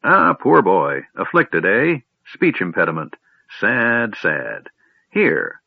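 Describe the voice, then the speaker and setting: A man's voice: lowish voice, wildly swooping pitch, slow, creaky, no room sound, full character voice. A man voicing a cranky, absent-minded old male wizard in a storybook reading, snapping and fussing. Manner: snappish, loud, fussy. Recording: dry tinny phone-like audio.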